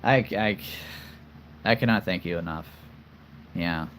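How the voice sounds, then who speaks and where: A man's voice: low voice, unhurried, fairly bright tone, slight background noise. A man hosting a casual livestream, talking earnestly and rambling with many fillers and restarts. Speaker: collectible figure channel host.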